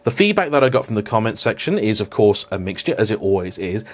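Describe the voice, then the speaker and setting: A man with a fairly low, steady voice speaks unscripted to camera. He sounds reflective and apologetic, often restarting his sentences and hedging as he weighs his words. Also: fast talker. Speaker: car vlogger. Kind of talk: unscripted talking-to-camera vlog.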